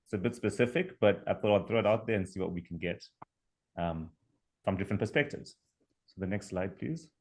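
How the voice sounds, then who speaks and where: A young man with a Zimbabwean accent and a lowish voice pitches his startup. He explains things in a measured way with small restarts, speaking over a video call. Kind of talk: online pitch presentation.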